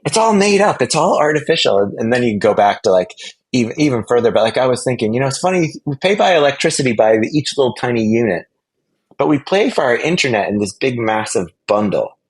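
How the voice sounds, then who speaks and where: A man speaking casually as an interview guest, animated and emphatic, rambling through false starts and repeated words. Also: medium pitch, fast, breathy edge, poor audio. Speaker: interview guest, product executive.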